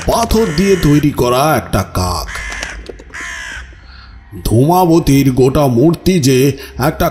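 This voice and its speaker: A man playing a village storyteller recounting his own eerie encounters, deliberate and suspenseful, in an audio horror drama. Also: mid-range voice, darkish tone. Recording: music bed under the voice. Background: music.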